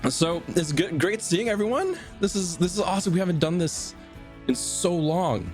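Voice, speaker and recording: A man playing a tabletop roleplaying game, improvising in a hesitant, halting way. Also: high-pitched, lively intonation, darkish tone, faint music behind.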